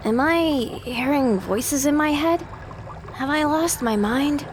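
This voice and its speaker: A woman as a bewildered, high-voiced young mare. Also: animated, slow, background noise. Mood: confused. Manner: hesitant, bewildered. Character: young confused mare pony waking from stasis, anxious. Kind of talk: audio drama acting.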